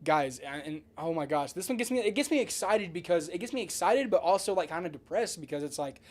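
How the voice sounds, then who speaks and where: A man with a slightly high voice chats casually as a podcast co-host. He is excitable and slangy, and he swears freely. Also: a little fast.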